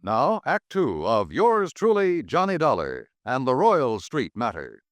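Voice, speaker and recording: A man's voice: medium pitch, wildly swooping pitch, a little slow, no room sound. A man making a formal, declamatory announcement in a 1950s network radio broadcast.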